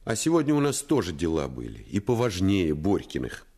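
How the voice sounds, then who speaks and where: A man narrating a radio play as a grown man calmly recalling his boyhood in scripted storytelling. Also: deep voice, a little fast, slight background noise. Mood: wry.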